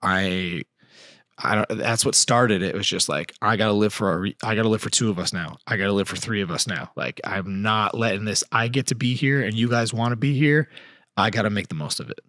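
A man in his thirties as a podcast guest, telling stories animatedly with restarts and trailing phrases, at a boom mic in a studio. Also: a little fast, sharp tone, dry recording. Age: 30s. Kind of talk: casual podcast chat. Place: dim studio set on a couch. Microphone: boom mic, headphones.

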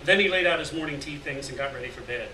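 A man's voice: on the high side, quick pace, bright, forceful tone. A middle-aged man giving a live literary reading of scripted story narration in a gallery room. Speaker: story reader. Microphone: stand mic at lectern, picked up by far camera. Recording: roomy, distant mic, noticeable noise.

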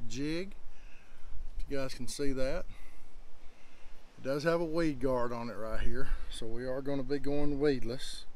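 Man, folksy fishing chat, outdoors on the water, average pitch. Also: rough recording. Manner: folksy, talks straight to viewers. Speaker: fishing vlogger. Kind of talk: casual on-the-water commentary.

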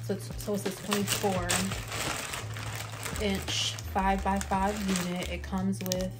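A woman's voice: mid-range voice, level tone, unhurried, heavy background noise. A young adult woman with an American accent, a vlogger chatting casually to the camera. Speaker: beauty and lifestyle vlogger.

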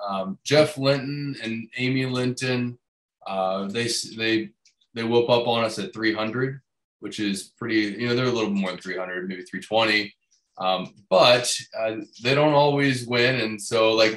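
A young man answering interview questions over a video call, halting with restarts and some light joking. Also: mid-range voice, a bit bright. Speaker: boat builder guest. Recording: roomy video call audio.

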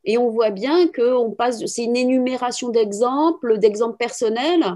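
A woman's voice: on the high side, normal speed, mellow. A middle-aged woman giving spontaneous explanations in an interview on a video call, thinking aloud with frequent little tags. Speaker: philosophy teacher and trainer, interviewee. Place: room at home with bookshelves, indoors. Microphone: video call, computer microphone.

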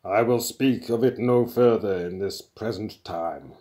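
A man voicing a vast ancient supercomputer in an audiobook, grave and pompous, speaking with weighty pauses. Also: on the low side, mellow, lightly acted. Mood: grave, commanding.

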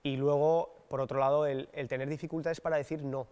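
A man's voice: on the high side, flat delivery, brisk, a little mellow, smooth. Hesitant young man, echoey studio. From Basque Country, Spain. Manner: thoughtful, hesitant, many fillers and restarts. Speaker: political candidate. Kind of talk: interview answers. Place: dark studio set, seated in armchair.

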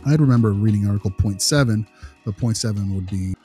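Low, mellow man. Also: quick pace, faint music behind. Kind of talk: casual explanatory podcast talk.

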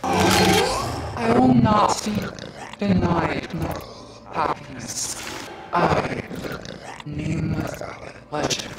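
An actress playing an eerie, menacing female monster in a horror video game, mocking and hungry, with drawn-out theatrical delivery and a layered, doubled voice. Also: mid-range voice, very noisy. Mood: tormented, menacing. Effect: layered, overlapping doubled voice. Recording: heavily echoing, distant, under loud music.

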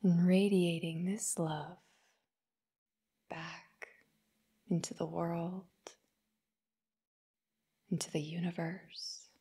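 A woman guiding a meditation in a soft, breathy, whispery voice, gentle and soothing, in short phrases with long pauses. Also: lowish voice, flat delivery. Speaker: meditation guide. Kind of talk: guided meditation narration.

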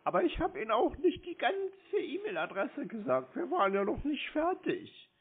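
A man playing a dim, stubborn young man who stalls a caller in a slow-witted, literal way on a prank phone call. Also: wildly swooping pitch, breathy edge, full character voice. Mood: puzzled. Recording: muffled low-bandwidth phone call.

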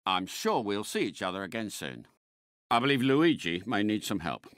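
A man's voice: fairly low pitch, very animated, normal speed, a bit bright, dry recording, studio quality. A man playing a suave British secret agent car in a video game, polite and unflappable, with clipped, precise diction. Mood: composed, courteous.

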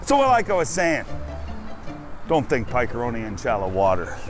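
A middle-aged man hosting a fishing show from a boat on a lake, jokey and quick to exclaim. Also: mid-range voice. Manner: excitable and jokey, exclaiming often. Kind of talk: excitable on-the-water fishing chat. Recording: music under the voice.

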